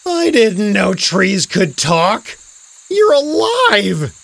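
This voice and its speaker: A man's voice: high-pitched, sing-song, slow pace, a bit bright, clear, resonant tone, dry recording, full character voice. A man voicing a bewildered, innocent young boy in a children's story, exclaiming and asking questions. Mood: amazed. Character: wide-eyed, bewildered young boy at summer camp.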